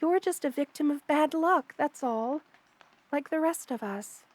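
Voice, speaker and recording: Gentle, high-voiced motherly spider queen played by a woman. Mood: firm reassurance. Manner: gentle, soothing, hesitant pauses, formal wording. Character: kind, motherly spider queen monster woman, tender and protective. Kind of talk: ASMR roleplay, intimate one-sided dialogue to the listener.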